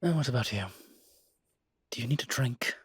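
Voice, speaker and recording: A man playing a suave ancient demon professor in an intimate roleplay audio drama, with a husky, breathy low voice and a dry, teasing, urbane manner. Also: animated, normal speed, no room sound. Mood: gentle, reassuring.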